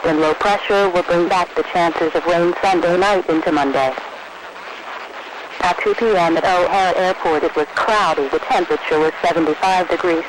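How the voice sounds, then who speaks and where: A man's voice: sits high, fairly even intonation, normal speed. An American man reading a scripted regional weather summary over the radio in a formal, impersonal manner. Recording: noisy weather radio reception.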